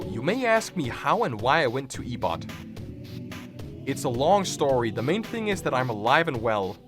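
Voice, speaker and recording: A man hosting a reaction video, joking and thinking aloud as he comments casually and reads captions out. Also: medium pitch. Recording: music playing behind the voice. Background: background music.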